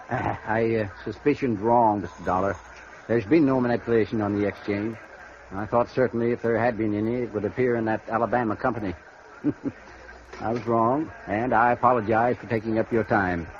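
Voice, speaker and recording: An actor playing a cautious, formal, somewhat fussy stockbroker in a 1950s radio drama. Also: male voice, fairly low pitch, fairly even intonation, normal speed, slight background noise, lightly acted. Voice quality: formal, hesitant. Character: fussy, cautious middle-aged male stockbroker. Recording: dull old radio broadcast.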